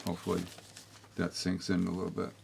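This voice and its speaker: A somewhat low-voiced older man talks straight to the audience of his public-access show. He rambles bluntly, restarts often and drifts into asides. His throat sounds a little hoarse. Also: monotone, brisk, darkish tone, a little hiss or hum. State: slightly hoarse, with a throat tickle. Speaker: host of a local public-access political show. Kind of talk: rambling opinion monologue to camera.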